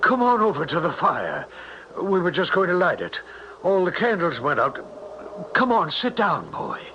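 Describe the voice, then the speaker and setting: An actor playing a worried, folksy elderly small-town father in an old-time radio drama, with a creaky, rambling, homespun way of talking. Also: high-pitched, huge pitch swings, moderate pace. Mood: bewildered, anxious. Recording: noisy, muffled 1970s radio broadcast.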